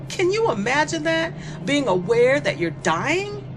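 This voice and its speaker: A woman playing a spoiled, bored young female killer in an audio horror monologue, taunting and conversational, with gleeful, drawn-out musing. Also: sits high, sing-song, music in the background. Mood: gleeful menace.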